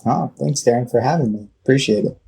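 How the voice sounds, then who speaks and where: A man with a Californian accent tells his own story in a reflective, conversational way. His voice is mellow and noticeably husky at a middle pitch. He moves haltingly, often pausing partway through a phrase. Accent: Californian American. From Southern California, United States. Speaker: interview guest.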